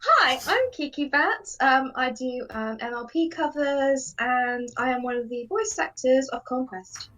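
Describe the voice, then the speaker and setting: Female voice actor: high voice, slow casual delivery. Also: animated, fairly bright tone, low-quality recording. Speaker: voice actor, cover singer. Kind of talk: casual self-introduction.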